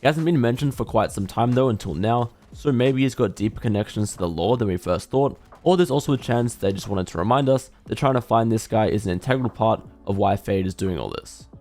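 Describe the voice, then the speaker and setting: A man's voice: on the low side, brisk, few pauses, darkish tone, soft background music. A man delivering scripted video commentary as a gaming lore commentator.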